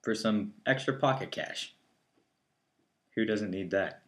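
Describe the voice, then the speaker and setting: A young man in his early twenties talks plainly with a dry, wry touch. His voice sits low as he co-hosts a casual chat. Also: slow. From northeastern Ohio. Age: 20s. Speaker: co-host.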